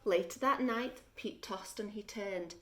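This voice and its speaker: A woman reading a children's story aloud like a teacher, deliberate and expressive, with dramatic pauses. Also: mid-range voice, a little hiss or hum.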